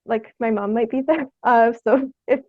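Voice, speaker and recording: A woman's voice: a little high, fairly even intonation, moderate pace, dry recording, narrow, tinny audio. A young woman, answering interview questions casually in a hesitant, self-effacing way. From Ontario, Canada. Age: young adult. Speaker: interview guest, theatre artist.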